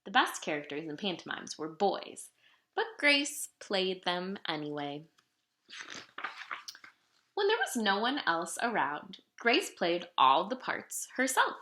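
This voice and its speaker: A woman reads a picture book aloud with lots of expression. Her pitch moves freely and her tone is bright and clear-cut. The room adds a noticeable echo around her storytelling. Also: takes it slowly. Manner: animated, dramatic storytelling delivery. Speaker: storybook reader. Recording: roomy, echoing room sound.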